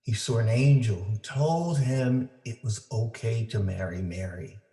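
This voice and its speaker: A man reading a children's story aloud for families, with deliberate, simple phrasing for young listeners. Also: medium pitch, mellow, soft background music. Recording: roomy, echoing indoor sound.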